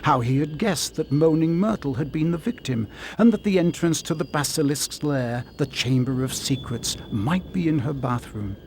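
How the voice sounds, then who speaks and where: A man narrating a scripted story in a measured, dramatic storybook manner. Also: on the high side, animated, a little mellow, slight background noise.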